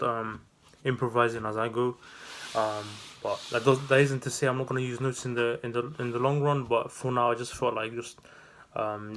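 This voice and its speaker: A man's voice: medium pitch, a bit bright. A man in his twenties from the Netherlands, talking off the cuff in a casual vlog, with lots of fillers and restarts. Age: 20s. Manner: rambling, many fillers and restarts. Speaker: vlogger and new business owner.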